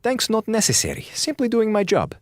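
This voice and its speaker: A man's voice: high voice, huge pitch swings, brisk, no echo, studio quality. An actor playing a cold, brusque male doctor and scientist on a space station in a radio drama, speaking in formal, clipped phrases without articles. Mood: dry, matter-of-fact.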